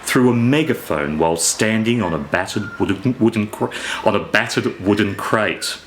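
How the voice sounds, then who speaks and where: A man reading his own fiction aloud as an author, in a theatrical, deliberate storytelling manner. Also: lowish voice, a little hiss or hum. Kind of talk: expressive scripted story reading.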